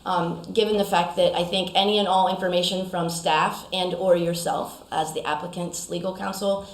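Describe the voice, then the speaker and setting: A woman chairing a town council meeting, polite and halting, with many ums and bursts of quicker speech. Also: fairly low pitch, steady tone, echoey room, low-quality recording.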